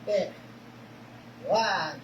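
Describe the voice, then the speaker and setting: Slow-speaking Spanish-accented woman teaching karate. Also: fairly low pitch, lo-fi sound. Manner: short broken phrases, often checks in with okay. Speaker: karate instructor. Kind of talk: teaching a class. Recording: echoey room, mic far off, noisy.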